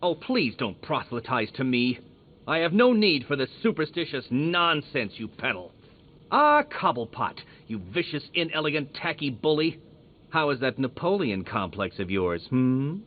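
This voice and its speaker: Pompous, manic supervillain genius, thin audio. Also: male voice, medium pitch, sing-song, moderate pace, narrow, tinny audio. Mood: haughty contempt. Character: arrogant, manic male supervillain genius, petulant and obsessive. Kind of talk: video game villain acting.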